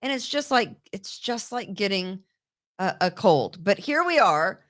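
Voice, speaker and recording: A woman hosting a political talk show, talking in an indignant, rapid way and often repeating herself. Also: mid-range voice, very animated, a bit bright. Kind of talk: opinionated political chat. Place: New York.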